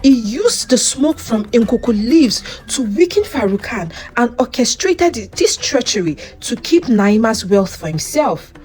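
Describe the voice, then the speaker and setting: A woman voicing a steady, authoritative male village elder in a folktale, measured and commanding. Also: a little high. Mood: firm. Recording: music under the voice.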